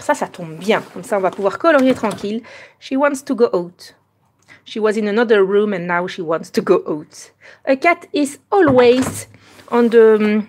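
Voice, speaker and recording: A Belgian woman hosting a live coloring stream, chatting casually and moving between French and slightly halting English. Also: mid-range voice, a little hiss or hum.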